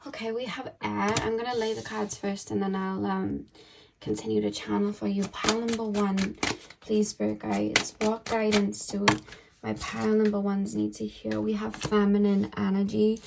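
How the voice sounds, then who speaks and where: A woman giving a casual tarot reading to her viewers. Her voice is hoarse and croaky, and she talks in a chatty, rambling way, full of "um" and "like". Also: mid-range voice, little pitch movement, moderate pace, noisy background. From United Kingdom. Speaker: tarot card reader.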